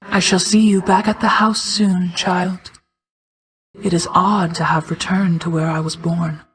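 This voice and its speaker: A woman playing an ancient, regal mother goddess of night in a video game, speaking in a formal, measured, restrained way, hushed at times. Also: fairly low pitch, level tone, natural voice, low-quality recording. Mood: serene, formal. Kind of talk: scripted video game character acting.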